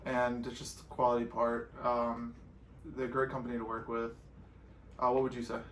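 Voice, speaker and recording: Flat-toned American man, echoey recording. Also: mid-range voice, normal speed. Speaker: off-road vlog co-host. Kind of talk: casual question-and-answer chat. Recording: roomy echo with some background noise.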